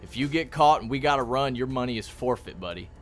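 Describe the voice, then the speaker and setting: A man's voice: mid-range voice, expressive, fast, faint music behind, lightly acted. Man acting a blunt, skeptical vice cop. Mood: stern warning. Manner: blunt, warning. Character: skeptical, hard-nosed male vice cop, grudging and blunt. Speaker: radio drama actor. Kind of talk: radio play acting.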